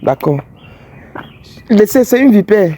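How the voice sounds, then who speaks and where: Blunt high-voiced man hosting a street vlog. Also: very animated, takes it slowly, dark tone. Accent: English-speaking Cameroonian. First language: English. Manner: blunt, scolding, teasing. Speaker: fidelity tester vlogger host.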